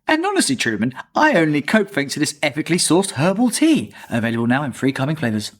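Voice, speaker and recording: A man's voice: fairly high pitch, huge pitch swings, fast talker. A man hosting a podcast, trading jokey, sarcastic banter with his co-hosts. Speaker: podcast host. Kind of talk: casual podcast chat.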